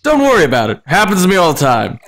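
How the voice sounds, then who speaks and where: A man voicing a gruff, blunt but helpful big male ogre in a dramatic story reading. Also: sits high, wildly swooping pitch, quick pace, fairly bright tone, voice pushed up, full character voice. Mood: reassuring. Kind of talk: dramatic fan fiction reading.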